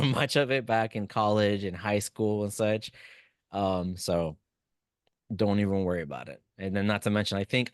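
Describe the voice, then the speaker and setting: A man whose first language is Spanish, teaching a live online class and talking to students in chat in a relaxed, encouraging way. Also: fairly low pitch, clear, resonant tone, no room sound, clean studio sound. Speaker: instructor.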